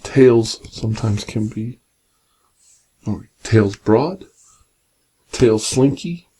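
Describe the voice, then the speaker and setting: A man reading a picture book aloud in a soft, gentle, partly whispered ASMR bedtime style with casual asides, close to the microphone. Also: lowish voice, expressive, drawn-out words, poor audio. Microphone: close binaural microphone. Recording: clear background noise, binaural ASMR microphone.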